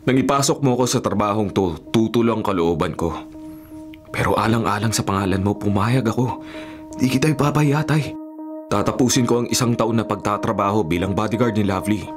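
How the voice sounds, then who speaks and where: A man's voice: fairly low pitch, brisk, natural voice. A Filipino-accented man playing a dutiful, disciplined young bodyguard in a radio drama, formal and restrained. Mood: earnest, reassuring. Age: young adult. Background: music.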